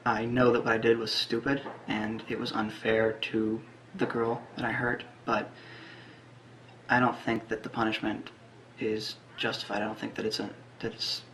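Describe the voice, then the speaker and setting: A young man around twenty giving a personal account in halting, broken phrases. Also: mid-range voice, a bit bright, slight background noise, low-quality recording. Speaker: interviewee.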